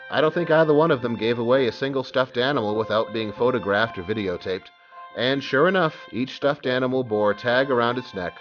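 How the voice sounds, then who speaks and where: An actor playing an earnest, smooth-talking police sergeant who tells his story in an audio drama. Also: medium pitch, muffled recording, close to an everyday voice. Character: persuasive, good-hearted male police sergeant and storyteller. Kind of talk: audio drama acting and first-person narration.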